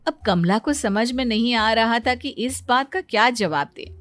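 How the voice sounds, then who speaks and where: A woman's voice: a little high, measured pace, fairly bright tone, dry recording. A woman delivering scripted narration for a Hindi cartoon moral story. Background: music.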